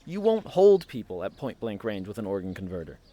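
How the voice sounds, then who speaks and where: A man's voice: fairly low pitch, very animated, brisk, warm tone. A man playing a cheeky, reckless friend in an audio drama, teasing and theatrical in manner. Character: cheeky, reckless, mischievous male friend of a reporter. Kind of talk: scripted audio fiction acting.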